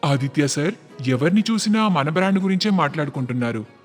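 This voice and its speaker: Cartoon bit part: eager deferential manager male actor, higher male register, music underneath. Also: lively intonation, brisk, natural voice. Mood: excited. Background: background music.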